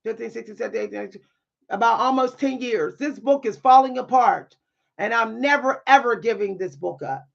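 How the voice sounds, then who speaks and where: A woman with a deep, at times loud voice, speaking in a bold, sassy way with dry humor and repeating phrases for emphasis in a motivational monologue. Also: fairly quick, vocal fry, highs cut off. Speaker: spiritual content creator and writer.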